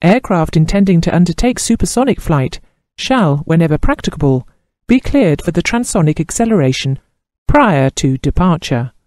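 A man reading scripted instructional narration in a formal, measured manner with precise enunciation. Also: fairly high pitch, animated, darkish tone, no echo, studio quality. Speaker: training course narrator.

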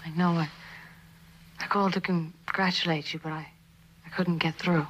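A woman's voice: fairly low pitch, steady tone, normal speed, fairly bright tone, highs cut off, a little hiss or hum, natural voice. A young actress playing a devoted, concerned woman in an intimate television drama scene, speaking earnestly. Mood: earnest, resolved. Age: young adult. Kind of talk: 1960s television drama acting.

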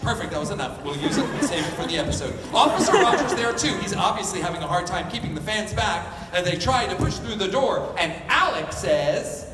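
A man's voice: high voice, big pitch swings, a little fast, few pauses. A man hosting a live table read, narrating stage directions theatrically and playfully for cast and crowd. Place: large convention panel room. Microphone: handheld mic through PA, recorded from audience. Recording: echoey convention hall from far off, noisy crowd.

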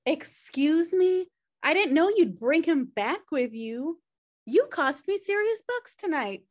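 A woman acts a blunt, slobbish sister in a comedy read over video call. Her voice is high and very lively, and she takes her time with each line. She sounds sarcastic and unapologetic. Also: slow pace, exaggerated voice. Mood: defensive. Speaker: actor in a table read. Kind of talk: comedy table read acting. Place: indoor room on a video call.

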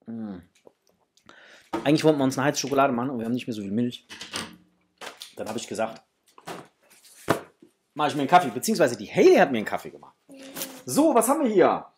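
A middle-aged man hosts a casual unboxing vlog in a medium-pitched voice. He talks to his viewers informally and piles on enthusiastic praise. There is clear noise behind him, with some clicking. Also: sing-song, quick pace, pauses often, a little mellow. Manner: enthusiastic, gushing in superlatives. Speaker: toy collector vlogger, host. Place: small indoor home room with framed posters.

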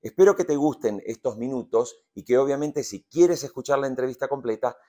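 A man's voice: mid-range voice, fairly quick, dark tone. Middle-aged male podcast host. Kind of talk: podcast presenting and interviewing.

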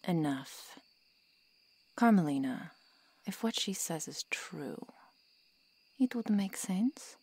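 A stern female vampire, acted by a woman for an ASMR audio drama. She is low and dark in tone, husky, and speaks with slow, formal solemnity, weary of the world. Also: expressive, dry recording, heavily acted. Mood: grave, guarded. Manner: measured, formal, solemn diction.

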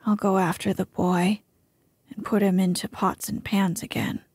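A resentful knight mocks a younger rival in a hushed, husky whisper, voiced by a woman narrator. The sound is dry and close. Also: mid-range voice, flat delivery, moderate pace, dry recording. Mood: sneering. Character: jealous sneering male knight.

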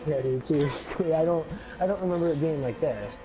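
A young man playing a co-op game with a friend, excitable and quick to laugh. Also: fairly high pitch, music in the background, rough recording. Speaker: gamer. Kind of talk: co-op gameplay commentary.

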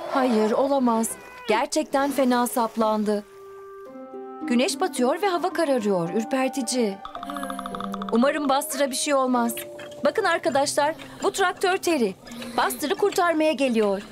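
A woman narrating a children's cartoon expressively, exclaiming and speaking directly to the characters and young viewers. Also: sits high. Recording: loud music behind the voice. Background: loud music.

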